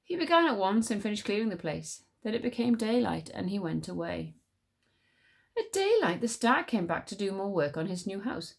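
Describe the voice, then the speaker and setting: A woman's voice: medium pitch, sing-song, normal speed, vocal fry. Woman reading a folk tale aloud, expressively. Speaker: teacher reading stories aloud. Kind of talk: expressive storybook read-aloud.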